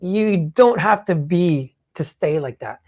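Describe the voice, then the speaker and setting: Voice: mid-range voice, expressive, slow pace, a little mellow. A podcast host talking casually about a book, informal and sweary, hammering points home by repeating phrases. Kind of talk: casual live book discussion.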